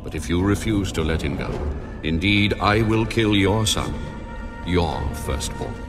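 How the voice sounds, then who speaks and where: Deep-voiced commanding God over music. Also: male voice. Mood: solemn, commanding. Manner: grave, deliberate, authoritative. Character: God, commanding, solemn, all-powerful male deity. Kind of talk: dramatized audio Bible acting. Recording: dramatic music under the voice.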